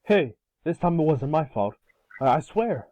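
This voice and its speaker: A man voicing a cocky, excitable boy hero with casual kid slang in a cartoon table read. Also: a little high, a little slow, no room sound, muffled recording. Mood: explaining. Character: cocky, excitable boy turned fire alien. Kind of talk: animated series table read.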